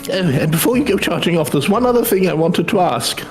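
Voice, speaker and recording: A man's voice: high-pitched, expressive, brisk, soft background music. A man playing an eager, cheeky young male hired helper in a video game, chatty and colloquial, with a British accent. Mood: proud, chatty.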